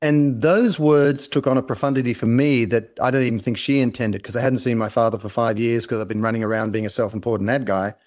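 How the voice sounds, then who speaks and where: A man's voice: mid-range voice, fairly even intonation, fast, hardly any pauses, darkish tone. A middle-aged man with an Australian accent, speaking as an interview guest in a polished, earnest storytelling style. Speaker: interview guest, company founder and author.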